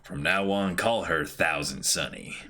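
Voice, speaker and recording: A man puts on a proud cartoon shipwright, pitched well down. He sounds cool, self-assured and easygoing. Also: unhurried, bright, forceful tone, heavily acted.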